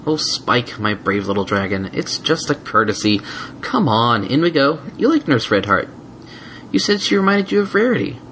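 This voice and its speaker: A man's voice: medium pitch, very animated, moderate pace, a bit bright, slight background noise. A man voicing a prim, coaxing female unicorn guardian in a fan fiction story, sweet but firmly insistent. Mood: primly cajoling. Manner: coaxing, silken, primly insistent. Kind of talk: fan fiction audiobook character voice.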